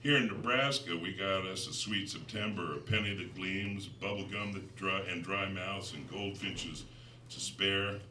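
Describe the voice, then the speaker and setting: An American man, a poet in middle age, reading his own work to listeners in a measured, deliberate, dramatic way. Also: deep voice, sharp tone. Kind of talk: live poetry reading with spoken introductions. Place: live reading in a room before an audience. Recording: roomy, distant mic, faint noise.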